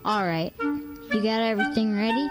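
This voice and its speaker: A woman playing a mischievous young child twin, excitable and playful, in a radio drama. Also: on the high side, moderate pace, loud music behind the voice, lightly acted. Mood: restless.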